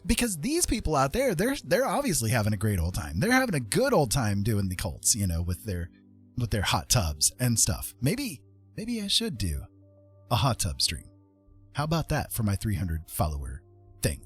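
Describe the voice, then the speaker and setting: A man's voice: lowish voice, huge pitch swings, moderate pace, slightly rough, soft background music. A man chatting casually on a livestream in a rambling, playful, self-mocking way.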